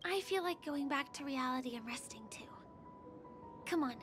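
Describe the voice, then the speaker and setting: A woman voicing a gentle, shy young woman in a video game, soft and girlish, speaking tenderly and hesitantly. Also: sits high, animated, moderate pace. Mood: wistful. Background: background music.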